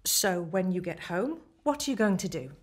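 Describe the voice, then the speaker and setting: Woman playing an animated, upbeat therapist. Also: lowish voice, normal speed, breathy edge. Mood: earnest encouragement. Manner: earnest, reassuring. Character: upbeat, encouraging female therapist, slightly daft. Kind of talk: radio play acting.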